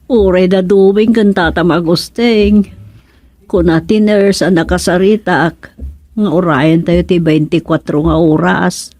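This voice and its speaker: Slow, lamenting Ilocano village mother. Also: lowish voice, fairly even intonation, darkish tone, no room sound. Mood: anxious, sorrowful. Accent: Ilocano Filipino. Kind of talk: Ilocano radio comedy drama acting.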